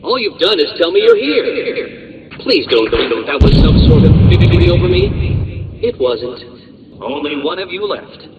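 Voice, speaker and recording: An actor playing an arrogant, condescending genius villain in a video game, with a pompous, sneering, wordy delivery. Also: male voice, high voice, big pitch swings, unhurried, lo-fi sound, heavy background noise. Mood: scornful.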